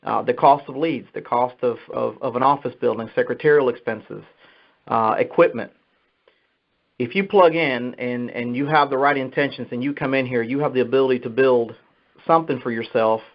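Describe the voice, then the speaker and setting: A middle-aged man speaks as a sales manager giving motivational business advice on a conference call, repeating and restarting phrases in a halting way. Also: medium pitch. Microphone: telephone conference line. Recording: dull, muffled conference phone call.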